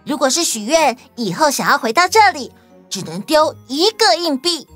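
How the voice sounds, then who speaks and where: A woman's voice: high voice, very animated, normal speed, a bit bright, soft background music. A woman voicing a cheerful, childlike, slightly teasing companion in a children's audio story.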